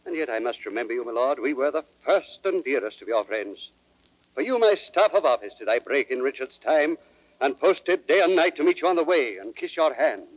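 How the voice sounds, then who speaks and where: An older nobleman played by a male actor in a Shakespearean audio drama, wily and aggrieved. He declaims formally and earnestly at a middling pitch, in a very dry recording. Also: animated, moderate pace, no echo, lo-fi sound, natural voice. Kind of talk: classical Shakespearean radio drama acting.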